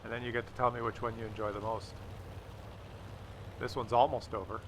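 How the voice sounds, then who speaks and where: A man's voice: on the low side, level tone, fairly quick, creaky, fairly bright tone. A man hosting a gaming video with casual, wry and sarcastic replay commentary. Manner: wry, sarcastic, teasing, crude joking. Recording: faint game noise behind voice.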